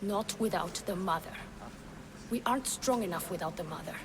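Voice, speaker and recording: This is an actress as an insistent, skeptical woman of a cult in a video game. Her medium-pitched voice is firm and dismissive, set against loud background ambience. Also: normal speed, noisy background, natural voice. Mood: unconvinced. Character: skeptical, insistent female cultist debater. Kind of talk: video game cutscene acting.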